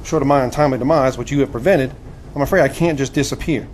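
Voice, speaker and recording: Earnest young male recruit man acting, nineteenth-century audio drama. Medium pitch, formal and courteous, faint background noise. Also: fast talker, a little mellow, natural voice. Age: 20s. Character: earnest, polite young gentleman recruit in 1871.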